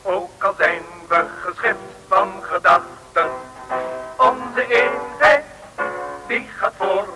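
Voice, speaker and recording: A man's voice: sits high, slow pace, poor audio. A man singing a comic anthem for a 1960s radio serial. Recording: old radio recording with music.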